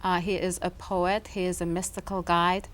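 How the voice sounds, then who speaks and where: A woman from Iran, speaking as a guest in a television studio interview, soft-spoken and thoughtful, pausing often with "um". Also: lowish voice, little pitch movement. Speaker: guest, author. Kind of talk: interview conversation.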